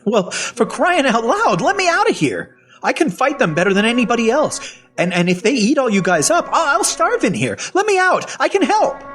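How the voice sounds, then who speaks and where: A man's voice: extremely high pitch, big pitch swings, moderate pace, a bit bright, full character voice. A man playing a sly, folksy captive with zombie-like traits, narrating his own tale in an audio fiction story. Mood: desperate pleading. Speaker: narrator and lead character. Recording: music behind the voice. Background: music.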